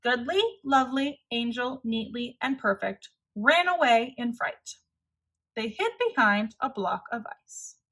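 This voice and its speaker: A woman reading a children's story aloud as narrator, expressive and deliberate. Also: fairly high pitch.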